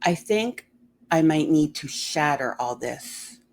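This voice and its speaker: A woman's voice: deep voice, expressive, slow pace, slight background noise, full character voice. A woman voicing a frightened, protective girl with urgent pleading in a storybook reading. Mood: urgent, fearful. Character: frightened, protective young girl with reality-shattering power.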